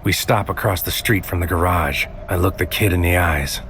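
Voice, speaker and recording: An actor playing a world-weary psychic agent in a noir audio drama, speaking in a terse, brooding, deliberate way. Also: male voice, low-pitched, creaky, fairly bright tone, slight background noise. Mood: controlled, steady. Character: world-weary psychic agent man narrating his dangerous mission.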